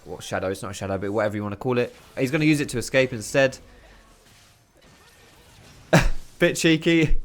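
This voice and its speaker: A man, his voice going hoarse, giving lively, excitable play-by-play commentary over video game footage with casual slang. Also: medium pitch, fairly quick, pauses often. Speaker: video host. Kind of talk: gameplay commentary.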